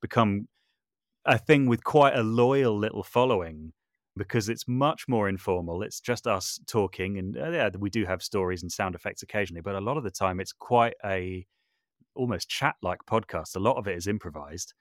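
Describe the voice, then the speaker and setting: A man's voice: fairly low pitch, moderate pace, no room sound, clean studio sound. A man talking casually as a podcast guest, explaining things in an informal, conversational way. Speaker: podcast guest, audio drama maker and voice artist. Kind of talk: casual interview talk, explaining.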